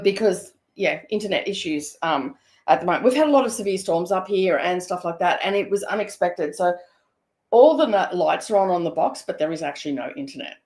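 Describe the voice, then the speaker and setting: A woman hosting a stitching live stream from her home studio, hoarse and croaky today, chatting in a rambling way with many fillers. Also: mid-range voice, darkish tone. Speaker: craft live-streamer host. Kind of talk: casual chat live stream.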